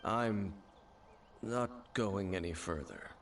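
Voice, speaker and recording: Cool, cynical game stranger: low-pitched, measured, guarded delivery. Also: male voice, heavy background noise. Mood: curt, aloof. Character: aloof, mysterious man, cynical about gods. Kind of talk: video game voice acting. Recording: game audio, somewhat distant, under music.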